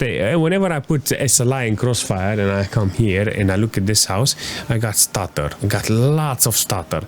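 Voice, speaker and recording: A man's voice: fairly low pitch, slight background noise. Rambling man reviewing a graphics card. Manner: chatty, rambling, frequent "uh" and "you know". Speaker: hardware reviewer. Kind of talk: casual gameplay benchmark commentary. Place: small room at home, gaming chair. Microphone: desk mic with headset, webcam framing.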